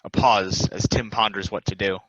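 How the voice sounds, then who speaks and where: Low-voiced man reading stage directions. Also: fairly even intonation, normal speed, a bit bright, no room sound, muffled recording. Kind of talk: scripted narration, play table read.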